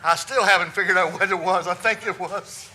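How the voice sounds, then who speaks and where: Loud, resonant man. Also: sits high, a little fast. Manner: loud, folksy storyteller with self-deprecating jokes. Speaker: funeral director. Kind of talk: humorous presentation to a lawyers' audience.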